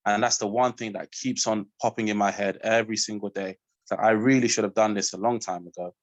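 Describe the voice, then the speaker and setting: A man talking as a student guest on a webinar, relaxed and rambling with lots of fillers, over a video call. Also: on the low side, fast, no room sound. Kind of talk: casual chat.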